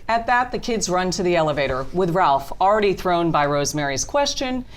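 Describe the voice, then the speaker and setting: A woman serves as narrator for a script reading. Her voice sits a little low for a woman as she reads out scene and action descriptions. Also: big pitch swings, a little fast, a little hiss or hum. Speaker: narrator reading stage directions.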